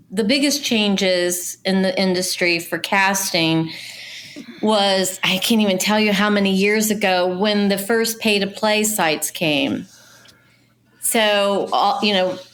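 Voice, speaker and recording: A middle-aged or older woman telling industry stories in a casual, animated, chatty way as an interview guest. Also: mid-range voice, a little hiss or hum. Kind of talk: casual conversational storytelling.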